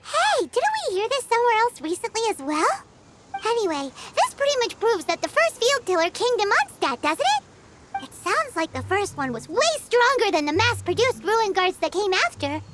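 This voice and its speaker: An actress playing a tiny, chatty, excitable fairy companion with a childlike voice in a video game. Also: female voice, very high voice, moderate pace, very noisy. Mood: pondering. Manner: perky, speaks of herself in third person.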